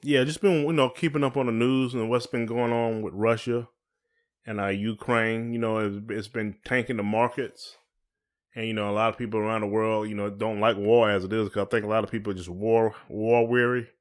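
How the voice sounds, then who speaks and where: A man's voice: on the low side, steady tone, a little fast. A man co-hosting a podcast in casual chat, asking questions in informal, hesitant phrasing. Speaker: podcast co-host.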